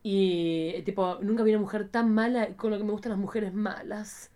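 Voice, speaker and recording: A woman's voice: medium pitch, steady tone, moderate pace, mellow. A woman with an Argentine accent co-hosting a podcast in casual, playful chat, campy and exclamatory, with plenty of slang. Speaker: podcast co-host. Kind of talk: casual, playful chat about cult cinema.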